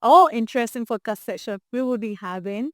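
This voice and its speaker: A Nigerian woman presenting as a podcast host, introducing an interview in an expressive, emphatic way. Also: on the high side, brisk, no echo, clean studio sound. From Imo State, Nigeria.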